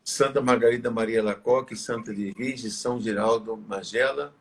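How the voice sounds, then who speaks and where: A tired, slightly hoarse male priest, leading prayers and preaching in a reverent, measured way. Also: lowish voice, steady tone, slight background noise. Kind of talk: live religious talk and prayer.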